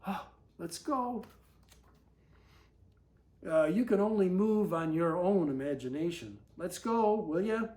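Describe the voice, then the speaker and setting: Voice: medium pitch, animated, slow pace, long pauses, dark tone, reverberant. A storyteller voicing bossy children in a picture-book space adventure, urging and commanding. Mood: urgent.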